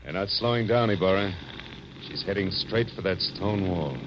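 Man playing deep-voiced, world-weary radio private eye. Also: normal speed, noisy background, lightly acted. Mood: terse, urgent. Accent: American. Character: weary, tough, reflective private detective, adult man. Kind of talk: 1940s radio drama acting, hard-boiled first-person narration. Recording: dull vintage radio recording, music behind.

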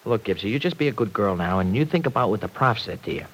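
An actor playing a brash, skeptical young working man in an old-time radio serial, slangy, folksy and excitable. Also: on the low side, fast, husky, rough recording. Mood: resigned, concerned. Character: brash, folksy young dairy worker, skeptical, protective boyfriend. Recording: vintage radio drama recording.

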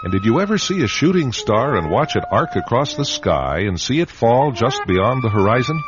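A man hosting a radio drama, narrating from a script in a dramatic, measured storytelling manner. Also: medium pitch, sing-song. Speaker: host and narrator. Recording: dull radio recording over music.

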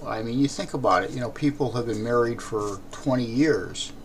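An American man with a raspy voice hosting a podcast, talking off the cuff in a halting, rambling way. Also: medium pitch. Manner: halting, throat-clearing, rambling. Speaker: podcast host. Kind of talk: unscripted podcast talk. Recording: clearly noisy background.

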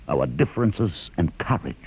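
Very deep, grave mutant leader. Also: male voice, sing-song, creaky, a little mellow, slightly rough, exaggerated voice. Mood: measured, commanding. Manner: solemn, measured, oratorical. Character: grave male mutant leader, brooding and commanding. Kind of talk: classic radio drama acting. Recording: muffled old radio recording.

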